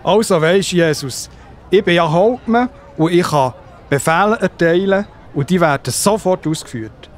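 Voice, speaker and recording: A man's voice: high-pitched, normal speed, some chatter behind, natural voice. A man playing a humble, worried Roman centurion in a children's audio play. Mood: earnest trust. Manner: earnest, pleading.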